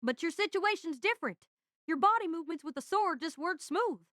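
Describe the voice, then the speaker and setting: A woman's voice: very high voice, lively intonation, fairly quick, a bit bright, no echo, clean studio sound. A woman playing an eager, friendly young male engineering student, chatty and earnest, in an audio drama. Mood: curious, earnest.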